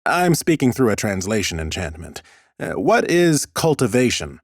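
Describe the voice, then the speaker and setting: A man's voice: on the low side, very animated, moderate pace, dry recording, studio quality. A man voicing an ancient, mighty lich in a fantasy audiobook, bookish and courteous, with a formal, pompous manner. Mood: polite, curious. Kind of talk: audiobook character acting.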